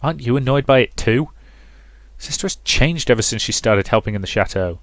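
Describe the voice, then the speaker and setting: A man's voice: medium pitch, lively intonation, a little fast, no room sound, close to an everyday voice. A man voicing a brash, sweary, dramatic sixteen-year-old girl in a visual novel, complaining emphatically. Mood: exasperated.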